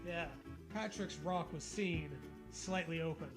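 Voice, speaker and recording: Man, high voice, comic story reading, sarcastic casual banter, profane, music behind. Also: measured pace. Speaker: co-host reading fan fiction aloud.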